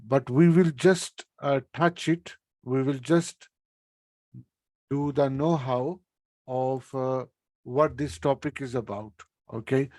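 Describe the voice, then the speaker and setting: A man's voice: medium pitch, darkish tone, no room sound. A man leading a spiritual coaching session, explaining step by step and checking in with listeners by ending his points with "okay?". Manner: unhurried, explaining step by step with many pauses.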